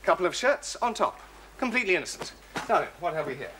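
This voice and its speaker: An actor playing a suave, audacious gentleman thief with an English accent and a breezy, teasing, politely clipped manner in a period drama. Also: high-pitched, very animated, quick pace, background noise. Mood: unruffled, jaunty. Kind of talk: period television drama dialogue.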